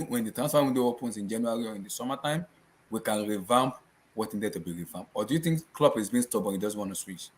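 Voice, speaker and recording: A man hosting a casual football discussion show, asking his guests questions in an animated way. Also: lowish voice. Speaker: host.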